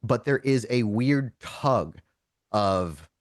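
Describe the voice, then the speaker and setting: A man running a tabletop roleplaying game, shifting between casual talk and deliberate, dramatic second-person narration. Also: mid-range voice, animated, dry recording. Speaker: game master of a streamed tabletop roleplaying show.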